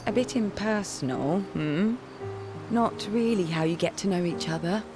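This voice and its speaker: A woman acting as a wry, guarded expedition member in a video game, with a dry, teasing, deliberate delivery. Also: medium pitch, lightly acted. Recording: music under dialogue. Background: game music.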